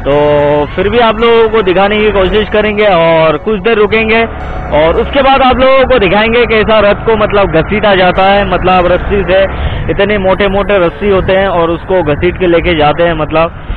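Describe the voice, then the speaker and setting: A man's voice: high-pitched, normal speed, noisy background. A young man chatting casually while riding a motorcycle outdoors, keeps saying "guys" and asks viewers for support. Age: young adult. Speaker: motovlogger. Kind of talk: casual ride commentary. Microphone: action camera on the bike. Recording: tinny phone-like sound with music behind.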